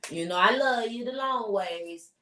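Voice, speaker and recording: A woman with a loud, strong voice, chatting bluntly and emphatically as a podcast co-host. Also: on the high side, unhurried. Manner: blunt, emphatic, repeats herself. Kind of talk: casual chat. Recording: echoey room, far from mic.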